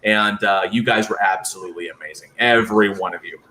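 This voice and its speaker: A man's voice: lowish voice, quick pace, pushed and bright, rough recording. A man co-hosting a casual chat, speaking effusively and gratefully. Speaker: co-host and film writer.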